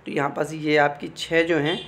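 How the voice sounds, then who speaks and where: A man's voice: a little high, level tone, normal speed, a bit bright, a little hiss or hum. A man giving an informal explainer, reading out official text and commenting, often repeating phrases and adding check-in tags. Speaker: exam information presenter.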